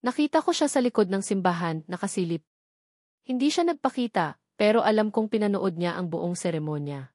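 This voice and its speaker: A kindly Filipino aunt, voiced by a woman storyteller speaks gently in an audio drama. She is plain-spoken, and the recording is dry and close. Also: medium pitch, expressive, brisk, no room sound, close to an everyday voice. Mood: gentle, reassuring. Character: kindly woman relative, family go-between.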